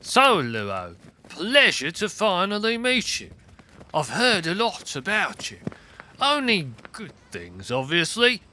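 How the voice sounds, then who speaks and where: A man's voice: high-pitched, huge pitch swings, slow pace, sharp tone, voice pushed up, heavily acted. A man voicing a crazed, uptight male crow in an audio drama, with fussy, theatrical phrasing and a squawky edge. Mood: wry politeness.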